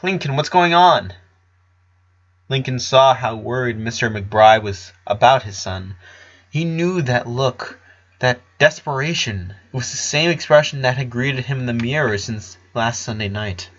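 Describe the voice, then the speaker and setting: A man's voice: medium pitch, moderate pace, fairly bright tone, muffled recording. A man reading a story aloud as a dramatic narrator. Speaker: fan fiction reader. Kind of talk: dramatic audiobook narration with character voices.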